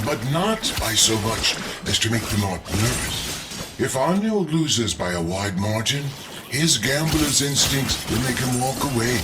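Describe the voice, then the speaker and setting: Shrewd strategist, lively intonation. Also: male voice, medium pitch, very noisy. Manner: deliberate, formal, sly. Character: shrewd, measured merchant strategist coaching an ally. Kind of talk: dramatic game mission briefing. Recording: loud music behind the voice.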